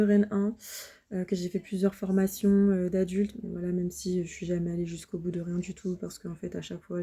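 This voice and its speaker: A woman in her thirties from France, recording a halting, upset personal appeal to viewers. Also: medium pitch, mellow. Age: 30s. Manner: halting, many hesitations and restarts; upset, defensive. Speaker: vlogger. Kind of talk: personal video appeal to the public.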